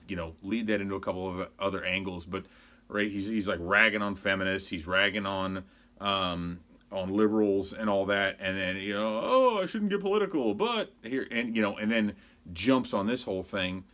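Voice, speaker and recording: Lower-pitched American man, rambling and exasperated. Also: fairly bright tone. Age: 40s. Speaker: sports blogger and show host. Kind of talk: casual opinion monologue.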